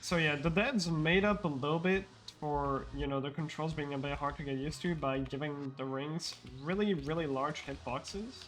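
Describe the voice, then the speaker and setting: Casual: guy talking thru his speedrun live, kinda higher voice, loose and rambly. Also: moderate pace, hardly any pauses, fairly bright tone. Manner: casual, explanatory, frequent fillers and restarts.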